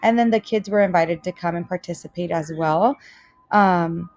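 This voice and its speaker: Earnest woman, fairly low voice. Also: normal speed, a little mellow, no echo. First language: Spanish. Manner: earnest, many fillers and restarts. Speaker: student teacher. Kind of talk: casual course introduction video.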